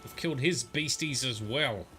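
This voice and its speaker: A man's voice: mid-range voice, moderate pace, poor audio. A man giving casual, chatty commentary on a livestream while playing a game and talking to his viewers.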